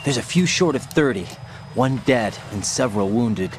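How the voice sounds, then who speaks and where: An actor playing an earnest young special agent in a video game, probing and idealistic. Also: male voice, medium pitch, expressive, moderate pace, background noise, natural voice. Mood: focused. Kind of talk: video game cutscene acting.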